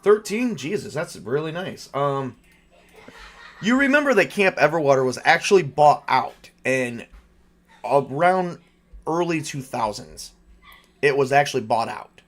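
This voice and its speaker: A man's voice: on the high side, expressive, unhurried. A man running a tabletop roleplaying game as game master, narrating casually and conversationally.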